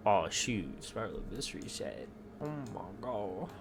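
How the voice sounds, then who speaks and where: Low-voiced man muttering through a game stream. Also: big pitch swings, a little slow, vocal fry, background noise. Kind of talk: casual gameplay commentary. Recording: game music behind. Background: video game music and effects.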